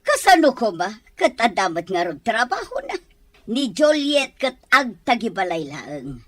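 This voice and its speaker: Domineering mother-in-law, Ilocano accent, scornful, swooping pitch, dry. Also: big pitch swings, unhurried, no echo. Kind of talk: Ilocano radio drama acting.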